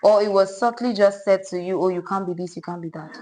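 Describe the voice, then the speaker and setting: A woman's voice: medium pitch, fast, dark tone. A woman hosting a podcast interview, chatty and often finishing her guest's sentences. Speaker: podcast host.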